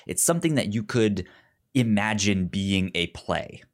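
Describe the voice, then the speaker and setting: A man co-hosting a podcast in casual film discussion, halting and often repeating words as he goes. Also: fairly low pitch, lively intonation. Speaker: podcast co-host.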